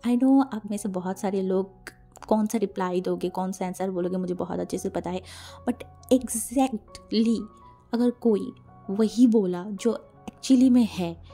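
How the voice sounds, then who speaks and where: An Indian woman narrates in a casual, chatty way, like a channel host talking to followers. Her voice is mellow and gravelly, hoarse from a cold. She repeats words for emphasis, with music playing underneath. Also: medium pitch. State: sore throat from a cold. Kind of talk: casual spoken fan fiction narration.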